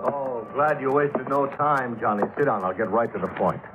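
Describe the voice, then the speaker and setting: An actor playing a worried, exasperated insurance executive with an American accent, earnest and emphatic, in a 1950s radio drama. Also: male voice, mid-range voice, moderate pace, loud music behind the voice, close to an everyday voice. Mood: urgent, sour. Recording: muffled vintage 1950s radio recording.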